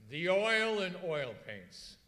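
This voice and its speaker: A man's voice: high-pitched, big pitch swings, slow pace, bright, forceful tone, heavily acted. A man playing a jolly, gossipy announcer sidekick in an old-time radio comedy, teasing and drawing his words out. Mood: earnest. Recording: echoey hall, live stage performance.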